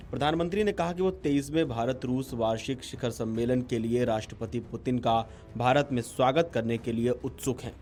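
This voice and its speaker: A man narrates news in a formal, scripted voice-over style. His voice sits at an average male pitch, with faint music playing underneath. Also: darkish tone. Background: background music.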